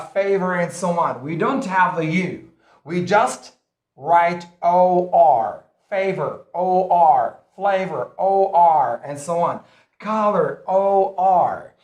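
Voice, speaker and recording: Emphatic high-voiced male English teacher. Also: reverberant. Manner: repetitive, emphatic teaching delivery. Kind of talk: recorded English lesson.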